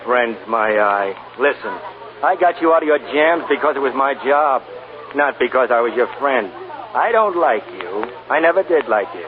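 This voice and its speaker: An American actor playing a spiteful, sarcastic studio press agent in a 1940s radio drama. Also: male voice, medium pitch, lively intonation, moderate pace, natural voice. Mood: bitter contempt. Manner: sarcastic, contemptuous, wisecracking. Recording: narrow-band 1940s radio recording, crowd behind.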